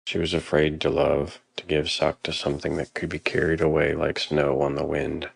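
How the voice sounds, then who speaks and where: A man's voice: very deep voice, flat delivery, moderate pace, creaky, dry recording. A man narrating a dramatic story from a script, as a storyteller.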